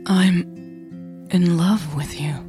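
A woman voicing a tender, nervous woman in love in a romantic audio drama, halting and earnest with a shaky, breathy voice. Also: lowish voice, steady tone, over music. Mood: nervous, loving.

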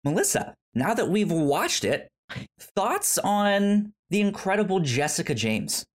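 A man hosting a casual film review podcast, talking haltingly and often stammering over the start of words. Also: high-pitched, very animated. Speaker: podcast host.

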